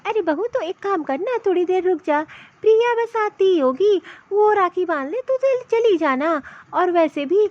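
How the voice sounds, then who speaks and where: A woman plays a fussing, affectionate cartoon mother-in-law. Her voice is extremely high and swings up and down as she frets over the family. The recording is dull and lo-fi. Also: lively intonation, moderate pace, hardly any pauses, dry recording. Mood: worried, coaxing. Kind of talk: Hindi comedy cartoon story dialogue.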